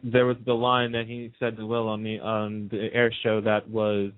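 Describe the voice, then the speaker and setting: A man's voice: fairly low pitch, monotone, moderate pace, smooth, dry recording. A man chatting casually on a radio panel, opinionated and emphatic, repeating himself to make a point. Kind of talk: casual chat about TV soaps. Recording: muffled lo-fi phone-line radio sound.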